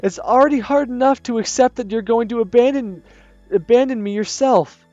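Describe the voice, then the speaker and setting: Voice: medium pitch, normal speed, warm tone, no room sound, lo-fi sound, soft background music, voice pushed up, natural voice. A gamer reading a visual novel aloud, voicing a shy teenage schoolgirl who pleads with a wavering voice. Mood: distraught.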